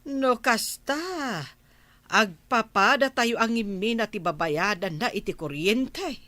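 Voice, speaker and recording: An actress playing a nagging, thrifty village housewife and aunt in a Filipino radio drama. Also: female voice, mid-range voice, slow, bright, forceful tone, no echo. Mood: fretful.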